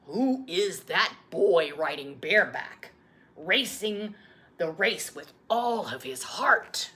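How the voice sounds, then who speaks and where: A woman voicing a gentle, loving old grandfather in a solemn, tender storybook read-aloud. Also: fairly low pitch, lively intonation, slow pace, pitched below the performer's own voice.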